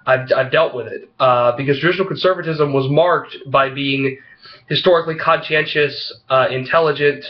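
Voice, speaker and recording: A congested man with a head cold talks into the camera. His voice is slightly froggy, and his delivery halts and rambles with plenty of "uh"s and "you know"s. His pitch sits in the middle of the male range, and the recording sounds a little muffled. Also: steady tone. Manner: halting, rambling, heavy on fillers. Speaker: vlogger. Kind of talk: casual opinion vlog talking to camera.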